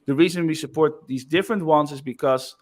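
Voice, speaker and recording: Male presenter, slightly high voice, relaxed, casual walkthrough of a software feature. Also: fairly quick. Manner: loose and chatty, frequent "like" and "uh" fillers. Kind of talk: casual screen-share product demo.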